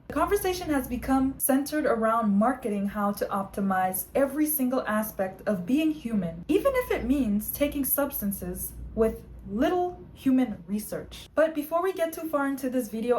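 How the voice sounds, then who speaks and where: A woman with a fairly high voice delivers a scripted explainer in the manner of an online fitness creator. She is articulate and orderly, with some faint ambient noise behind her. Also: darkish tone, low-quality recording. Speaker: fitness and wellness video creator. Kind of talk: scripted explainer narration to camera.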